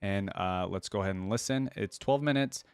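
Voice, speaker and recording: A man's voice: lowish voice, brisk, smooth, dry recording. A man hosting a reaction video, talking casually and rambling informally as he muses, close to the microphone.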